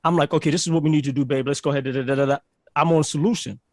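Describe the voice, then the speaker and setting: A man's voice: fairly high pitch, racing, dry recording, clean studio sound. A man from Barbados, a guest telling stories in a casual interview, acting out quotes and restarting often. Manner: animated, acts out quotes, many restarts.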